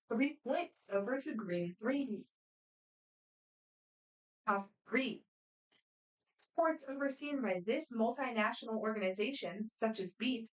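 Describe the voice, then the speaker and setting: A woman moderating a student quiz competition, reading questions aloud in a brisk, friendly, matter-of-fact way. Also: on the high side. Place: competition room. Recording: voice picked up from a distance.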